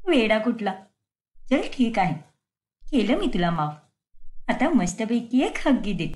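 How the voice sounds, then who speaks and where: A woman voicing a fiery, sharp-tongued young woman in a cartoon story. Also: on the high side, very animated, measured pace, voice pushed down. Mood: softened. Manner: scolding, snappy.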